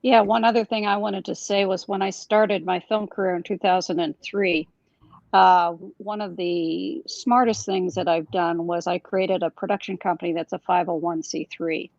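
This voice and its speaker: Gravelly low woman on dull dry audio. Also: steady tone, darkish tone, no echo. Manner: measured, slow, with fillers. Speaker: filmmaker, panelist. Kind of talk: panel discussion answer.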